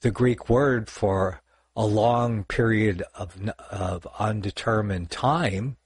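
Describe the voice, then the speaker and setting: A man's voice: on the low side, takes it slowly, breathy edge, no echo, studio quality. An older man teaching Bible interpretation on a livestream in a rambling way, with many fillers and self-corrections.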